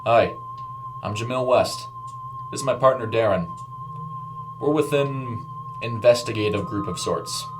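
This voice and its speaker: A man's voice: medium pitch, unhurried, long pauses, lightly acted, rough recording. A man acts out a mysterious investigator in a horror story, assured and secretive. His delivery is knowing and persuasive, with music underneath. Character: assured, secretive male investigator in a fine suit.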